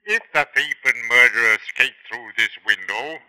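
An actor playing a skeptical village constable with pointed, deliberate questioning, in 1950s radio drama style. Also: male voice, mid-range voice, bright, forceful tone, rough recording. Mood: suspicious, pressing. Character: probing, skeptical English village constable, male.

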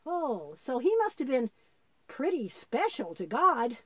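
A woman's voice: high voice, very animated, unhurried, a bit bright, no room sound, exaggerated voice. A woman voicing an eager, good-hearted young boy puppet who thinks aloud artlessly in a children's puppet show. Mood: curious.

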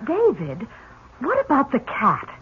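A woman's voice: sits high, huge pitch swings, unhurried, a little hiss or hum, exaggerated voice. An actress playing a polite, attentive woman in a 1970s radio drama. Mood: gently prompting. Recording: tinny, phone-like vintage radio broadcast.

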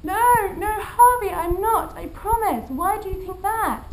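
A high, whiny pleading clown. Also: male voice, a little slow, rough recording. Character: nervous male clown and fake fortune-teller, pleading.

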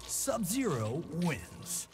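A high, drawn-out fight announcer. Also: male voice, huge pitch swings, heavily acted. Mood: triumphant. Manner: drawn-out, emphatic proclamations. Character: booming, menacing male arena fight announcer. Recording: loud music and game effects.

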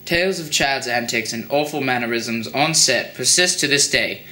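An actor playing an earnest documentary reporter, reading scripted mockumentary narration and interview questions. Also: male voice, medium pitch, moderate pace, sharp tone, reverberant, low-quality recording.

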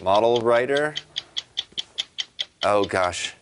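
A man in his thirties playing a TV studio game, giving halting guesses and casual remarks. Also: fairly low pitch, expressive. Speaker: game contestant. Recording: noisy TV studio floor.

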